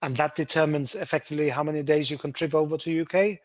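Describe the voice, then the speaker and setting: A man hosting a webinar, explaining tax residency rules in a brisk, emphatic way with frequent restarts. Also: a little high, steady tone, a little hiss or hum. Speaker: webinar host, Gibraltar tax adviser.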